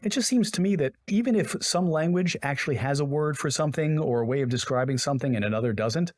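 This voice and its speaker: A man's voice: fairly high pitch, fast, no room sound. A man co-hosting a podcast, musing reflectively with sarcastic asides. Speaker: podcast co-host. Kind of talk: casual podcast discussion.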